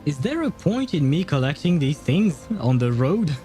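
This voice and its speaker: A man's voice: on the high side, big pitch swings, normal speed, warm tone. A man livestreaming a game in casual chat, rambling and hesitant as he thinks aloud. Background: game music.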